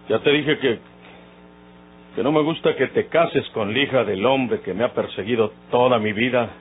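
A man's voice: mid-range voice, slight background noise, natural voice. An actor playing a proud, wary outlaw father with a rural Mexican accent in an old radio soap. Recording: muffled, humming old radio recording.

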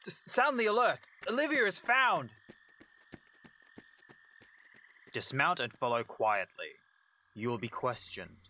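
A man's voice: fairly high pitch, animated, normal speed, bright, forceful tone, slight background noise. A man playing a curt, dutiful castle guard in an audio drama, giving formal, clipped, commanding speech. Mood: commanding, alarmed.

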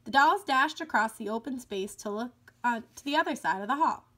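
A woman reads a children's story aloud. Her voice is high and bright, and she narrates expressively, like a teacher addressing pupils. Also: lively intonation, a little fast. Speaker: elementary school educator. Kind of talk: children's book read-aloud.

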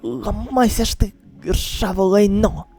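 A woman voicing a gruff, irritated survivor who grumbles curtly in an amateur manga dub. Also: medium pitch, fairly even intonation, unhurried, dark tone, faint music behind, voice pushed down. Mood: frustrated. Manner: curt, grumbling, sullen.

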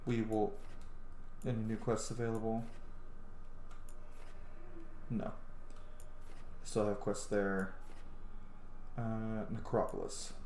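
A man's voice: fairly low pitch, level tone, slow pace, long pauses, a little mellow. A man in his thirties giving casual, enthusiastic, swear-heavy commentary while playing a game. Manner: enthusiastic, profane. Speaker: gaming streamer. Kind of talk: casual let's play commentary. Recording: roomy echo, background game ambience noise.

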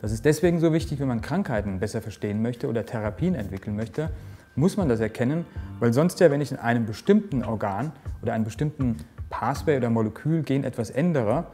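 A man in his forties speaks as a research director, explaining his work earnestly with the odd filler and restart. His voice sits at a middle pitch with a mellow tone. He is recorded on a lapel mic in an office, with faint music underneath. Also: expressive, fast talker. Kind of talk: interview statement. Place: office with microscope, indoors.

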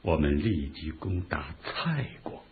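A shrewd royal adviser with a very husky, low voice. He explains strategy in a deliberate, measured way, with lively intonation. It is a muffled radio drama recording. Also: exaggerated voice. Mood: calculating. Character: shrewd, measured chief minister advising his lord. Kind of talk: historical radio drama acting.

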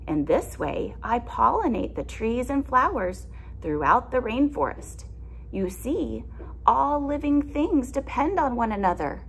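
A woman's voice: fairly high pitch, sing-song, a little slow, creaky, slightly rough, voice pushed up, full character voice, poor audio. A woman voicing a small, busy, earnest bee from a storybook with emphatic delivery. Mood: earnest pleading.

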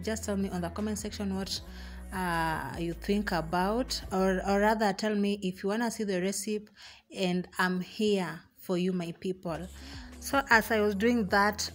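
A young woman with a Kenyan accent chats to her viewers, easy and friendly, now and then dropping into Swahili. Her voice sits at an ordinary middle pitch. She is recorded by a camera standing in a small room at home. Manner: chatty and warm, mixing in Swahili phrases. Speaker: vlogger. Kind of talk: casual vlog narration.

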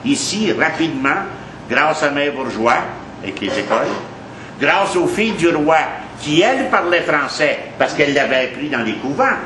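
A man's voice: on the high side, sing-song, normal speed, pushed and bright. Emphatic Québécois man lecturing in a café. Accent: Quebec French. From Quebec. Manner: emphatic, conversational, repeats points for weight. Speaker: history lecturer. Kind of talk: informal history talk to a café audience. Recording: echoey café, noisy background.

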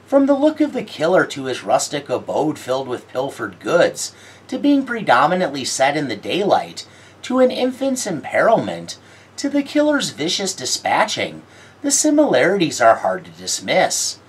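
Woman host, low and husky, wildly animated intonation, dry sardonic reviewer. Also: moderate pace, poor audio. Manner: wry, sardonic, theatrical delivery. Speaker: horror film reviewer. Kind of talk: scripted video-essay commentary.

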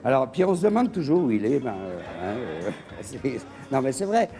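A man's voice: a little fast, vocal fry, over music. An older man, a political commentator trading teasing remarks on a TV comedy set. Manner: teasing, lively, swings between mock-serious lows and excited highs. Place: TV studio set dressed as a living room.

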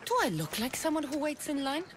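A woman's voice: high-pitched, very animated, brisk. An actress playing a tough, defiant young mercenary woman, blunt and assertive, in a video game cutscene. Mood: impatient.

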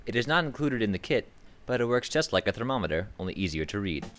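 A young man giving a scripted instructional voice-over with a clear, even, explanatory delivery. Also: on the low side, quick pace. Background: banjo music.

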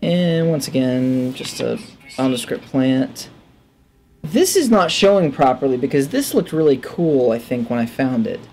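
A man's voice: mid-range voice, animated, a little slow, darkish tone, faint music behind. A man giving casual, offhand gameplay commentary, musing aloud to himself into a headset mic. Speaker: gaming let's player. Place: indoors at a desk.

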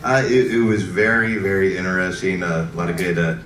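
A man on a church stage talks about his time in a program, halting and full of fillers. His voice sits low. The recording is distant and echoey, with noise behind. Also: level tone. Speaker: program graduate. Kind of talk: graduation speech. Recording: echoey hall from far off, noise.

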